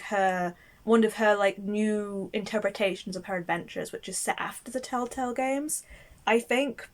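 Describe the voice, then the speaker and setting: A young woman, her voice a little croaky from a cold, chatting casually and rambling through a book wrap-up. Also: medium pitch. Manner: chatty, rambling, lots of "um" and "I think". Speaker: book vlogger.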